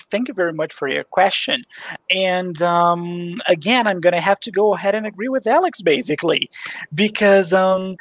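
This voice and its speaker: A man in his twenties from Brazil, a native Portuguese speaker and English teacher, talking earnestly on a video call through a headset. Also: high-pitched. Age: 20s. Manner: talkative, earnest, explains with sayings and examples. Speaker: English teacher, interview guest. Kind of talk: casual video call chat. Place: small room indoors.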